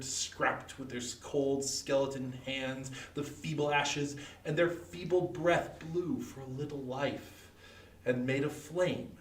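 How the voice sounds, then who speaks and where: Guy doing solemn dramatic poem reading, really slow w big pauses, roomy echo. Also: medium pitch. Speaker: volunteer or library staff reader. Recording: echoey room, distant mic.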